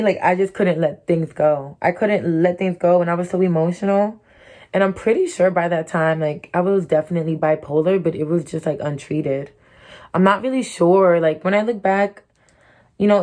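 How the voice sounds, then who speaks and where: A woman's voice: fairly low pitch, darkish tone. A young woman from Connecticut with her own distinctive American accent, telling a candid, profane storytime, full of "like" and "you know". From Connecticut, USA. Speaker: YouTuber.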